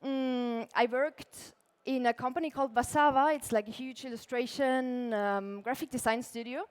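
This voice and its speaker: A woman's voice: a little high, fairly even intonation, moderate pace, long reverb tail. A young woman from Tyrol with an Austrian accent, giving a casual conference talk full of "like" fillers. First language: German. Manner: casual, chatty, many "like" fillers, laughs lightly. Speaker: conference speaker, illustrator. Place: conference stage.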